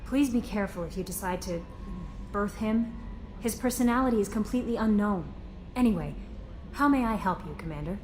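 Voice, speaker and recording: A woman's voice: medium pitch, fairly quick, darkish tone, slight background noise, natural voice. A woman playing a polite, helpful and cautious young crew assistant in a video game, attentive in manner. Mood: polite, concerned. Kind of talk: video game character acting.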